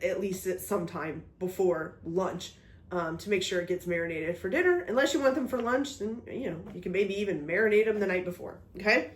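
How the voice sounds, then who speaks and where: A woman whose voice still carries a cold, walking viewers through a recipe in a chatty, easygoing way. She keeps checking in with her audience as she measures and mixes. The sound bounces around an echoey home kitchen. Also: medium pitch. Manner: chatty, talks through each step, frequent "okay?" check-ins. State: recovering from a cold, voice a bit off. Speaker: cooking vlog host. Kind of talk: casual cooking demonstration.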